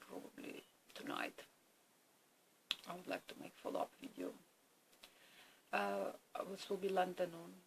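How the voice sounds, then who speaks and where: Ukrainian woman, Russian native speaker, very gravelly and subdued, halting diary talk, noisy home recording. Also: lowish voice. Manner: halting, hesitant, slow and searching for words. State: subdued and weary. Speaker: vlogger. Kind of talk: personal video diary.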